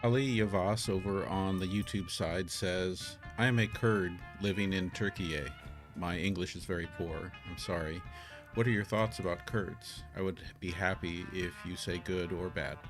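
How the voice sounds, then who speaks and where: A man from the United States hosting a live stream, reading viewer questions in a casual, halting way with many ums and restarts. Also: deep voice, steady tone. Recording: guitar music audible under voice.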